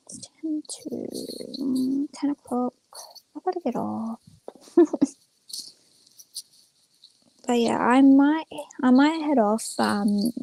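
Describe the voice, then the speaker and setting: A woman's voice: on the high side, vocal fry, darkish tone, rough recording. A young woman chatting casually to viewers on a livestream in a rambling, informal, self-effacing way. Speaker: live streamer.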